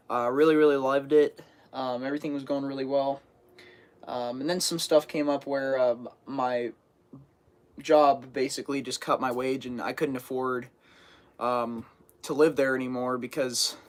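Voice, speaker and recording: Teenage guy rambling on a live stream. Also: medium pitch. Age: teens. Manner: rambling, many fillers and restarts. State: getting over a cold, sniffling. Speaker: fishing channel host. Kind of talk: casual live stream chat.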